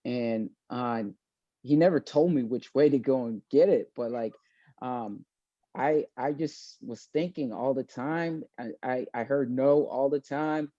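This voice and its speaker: A young man born in South Sudan and raised in Maine, speaking as an interview guest in a thoughtful, halting way, with many fillers and restarts. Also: medium pitch, dark tone, no echo, lo-fi sound. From born in South Sudan, raised in Maine, USA. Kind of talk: casual video-call interview.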